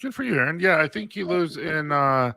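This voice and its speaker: Male business owner, bright forceful tone, tired and rambling. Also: fairly high pitch, expressive, brisk, creaky. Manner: tired, laid-back, rambling. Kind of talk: casual livestream chat.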